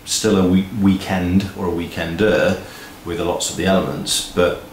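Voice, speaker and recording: A man's voice: deep voice, level tone, normal speed. A man chatting casually as co-host of an update video, recorded in an office. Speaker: co-host of a music event promoters' video update. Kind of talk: casual two-person chat. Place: indoor office room. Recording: roomy echo, noticeable background noise.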